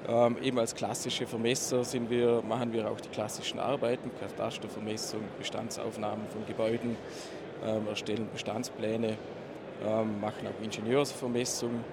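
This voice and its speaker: A man with an Austrian accent gives an informal technical presentation as a surveyor, speaking haltingly and holding back his dialect. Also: on the low side, flat delivery. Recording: loud background noise, live talk.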